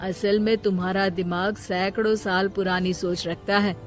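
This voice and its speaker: A woman's voice: medium pitch, little pitch movement, normal speed, soft background music, pitched below the performer's own voice, natural voice. A woman voicing a modern-minded grown son with a reasoned, reproving manner.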